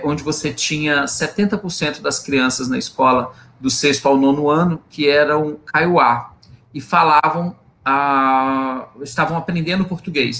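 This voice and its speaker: A man's voice: mid-range voice, sharp tone, a little hiss or hum. A man speaking as an invited guest on a live online panel, explaining at length with frequent restarts and hesitations. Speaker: guest researcher.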